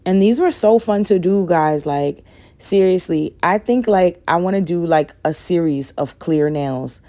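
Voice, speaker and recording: A young woman, a little raspy from a cold, chatting loosely through a nail tutorial and saying "like" and "guys" a lot. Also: on the low side, a little slow, dark tone. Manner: rambling, says "like" and "guys" a lot. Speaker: nail vlogger. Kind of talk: casual chatty tutorial voice-over.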